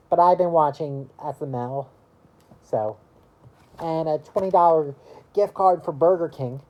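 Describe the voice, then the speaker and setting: A woman in her early twenties chatting casually to camera about her year, rambling and halting, with lots of "you know" fillers. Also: low voice, dull audio. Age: early 20s. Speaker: vlogger.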